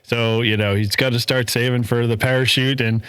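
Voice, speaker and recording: Man, podcast host, mid-pitched, jovial and slangy, very dry close sound. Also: little pitch movement, moderate pace, fairly bright tone, clean tone, no room sound. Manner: jovial, laughs often, slangy. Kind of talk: casual panel chat.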